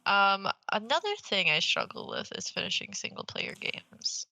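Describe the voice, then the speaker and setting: Bright, creaky-voiced young woman podcaster. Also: medium pitch, wildly swooping pitch, normal speed, dry recording, rough recording. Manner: slangy, freely swearing, playful teasing. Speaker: podcast co-host. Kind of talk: casual gaming podcast chat.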